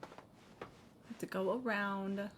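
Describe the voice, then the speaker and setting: A woman live-streaming a game with casual, expressive, sweary chatter. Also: medium pitch, a little slow, a little hiss or hum. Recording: stream with faint game music behind. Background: game music.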